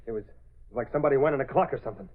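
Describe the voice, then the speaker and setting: An actor playing a nervous, grumbling crooked railroad detective in a 1930s radio drama, excitable and complaining. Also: male voice, medium pitch, racing, lightly acted. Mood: nervous, argumentative. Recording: muffled, noisy old-time radio recording.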